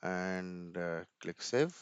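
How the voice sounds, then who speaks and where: A man's voice: deep voice, lively intonation, very slow, clear, resonant tone, no room sound, dull audio. A man giving an informal screen-share tech walkthrough, thinking aloud hesitantly and often repeating words. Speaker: tutorial presenter.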